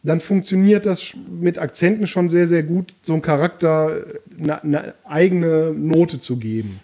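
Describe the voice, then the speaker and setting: A man's voice: fairly high pitch, normal speed, warm tone. A man co-hosting a podcast, telling stories in an easygoing way with hesitant restarts. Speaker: podcast co-host. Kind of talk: casual chat.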